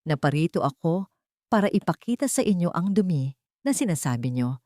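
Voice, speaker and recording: A woman voicing a gentle, forgiving young woman in an audiobook, soft-spoken and earnest. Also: fairly low pitch, sing-song, fairly quick, dry recording, clean studio sound. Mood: calm forgiveness.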